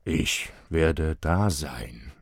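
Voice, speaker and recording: Storybook figure: a man doing a gruff father, deep growl, slow. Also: expressive, no echo, clean studio sound, heavily acted. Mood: grumpy. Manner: low growling, grumbling.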